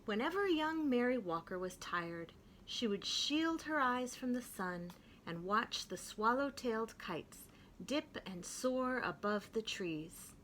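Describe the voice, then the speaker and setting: Woman reading a kids' book out loud, gentle and expressive, faint hum behind. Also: on the high side, takes it slowly, poor audio. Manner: gentle, expressive, clear storytelling. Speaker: children's story reader. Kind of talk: reading a picture book aloud.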